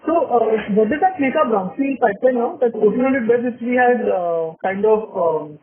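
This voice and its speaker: A man's voice: very high-pitched, lively intonation, normal speed, a crowd in the background. A man with an Indian accent politely asking questions as an analyst on an earnings call. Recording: thin phone line.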